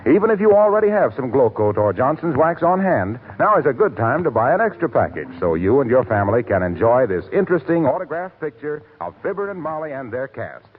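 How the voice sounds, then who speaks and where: A man's voice: medium pitch, big pitch swings, a little fast, few pauses. An American man delivering a 1940s radio announcement in a selling style. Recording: narrow-band 1940s radio, music behind.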